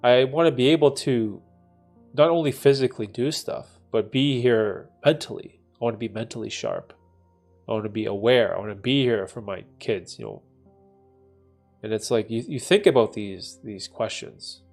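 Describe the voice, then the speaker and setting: A man's voice: medium pitch, moderate pace, vocal fry, a little mellow, soft background music. Reflective man in his thirties, chatting. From Canada. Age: 30s. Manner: thoughtful, rambling, many "right?" tags. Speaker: livestream host answering chat. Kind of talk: casual reflective livestream chat.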